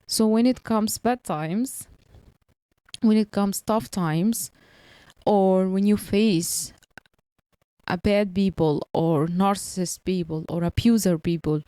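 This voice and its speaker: A woman's voice: mid-range voice, slow pace, dark tone, smooth, dry recording. A woman in her early thirties, a non-native English speaker, giving an earnest motivational talk and repeating her points. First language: not English. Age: early 30s. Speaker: motivational vlogger.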